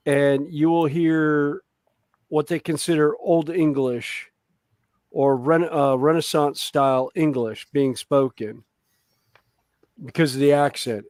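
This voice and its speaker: A man from the Deep South hosting a casual group chat and telling laid-back anecdotes. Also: darkish tone, no room sound. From American Deep South.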